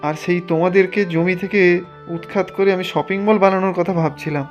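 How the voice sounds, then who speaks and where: A man's voice: high-pitched, steady tone, brisk, dull audio, faint music behind, close to an everyday voice. A man voicing an ambitious, greedy village official in a cartoon, talking in a persuasive, insistent way. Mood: subdued, remorseful. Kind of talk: Bengali cartoon dialogue.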